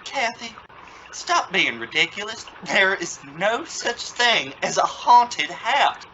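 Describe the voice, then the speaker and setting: An exasperated, sarcastic middle-aged dad, acted by a man, whose pitch jumps around as he blusters with false confidence. It is old-time radio sitcom style, with a dull sound and clear background noise. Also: very animated, slow pace, sharp tone, full character voice. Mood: insistent, irritated. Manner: sarcastic, flustered stammering. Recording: dull, noisy lo-fi radio play recording.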